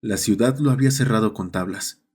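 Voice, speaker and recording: A man's voice: lowish voice, a little fast, darkish tone, husky. A man reading a scripted horror story as its narrator.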